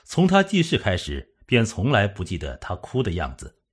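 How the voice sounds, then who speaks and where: A man narrating a suspense novel as an audiobook, in a measured, dramatic storytelling manner. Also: on the low side, wildly swooping pitch, husky.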